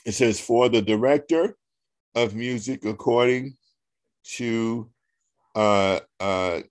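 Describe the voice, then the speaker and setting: A man with a deep voice, a pastor leading an online Bible study and reading scripture aloud slowly on a video call. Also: no echo. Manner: slow, deliberate reading with a coaxing, teasing tone.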